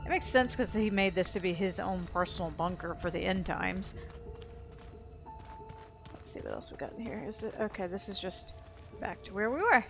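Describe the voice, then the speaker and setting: A woman's voice: medium pitch, normal speed, creaky, long pauses, music in the background. A woman giving informal gameplay commentary, thinking aloud as she explores. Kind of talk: casual game commentary.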